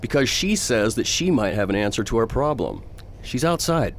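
A man playing a calm, reasonable patrol chief in a science fiction radio drama, composed and patient. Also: mid-range voice, normal speed, a little hiss or hum, close to an everyday voice. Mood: calm, assured.

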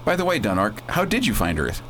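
A man's voice: on the low side, wildly swooping pitch, a little fast, a bit bright, a little hiss or hum. A man playing a breezy, decisive space adventurer who leads in slangy, commanding talk in a radio drama. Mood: firm.

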